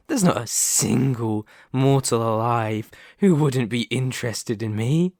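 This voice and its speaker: Guy doing a mocking seductive demon lord for asmr, super slow theatrical talk, mid pitch, really dry sound. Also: darkish tone, dry recording. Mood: smug, seductive. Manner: condescending, theatrical, deliberate drawn-out phrasing. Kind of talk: ASMR roleplay, scripted villain monologue.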